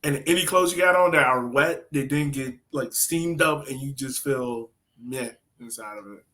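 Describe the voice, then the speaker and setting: A man with a bright, forward voice at a middle pitch co-hosts a sports podcast. He talks casually and slangily, like someone telling a story to a friend. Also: normal speed. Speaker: soccer podcast co-host.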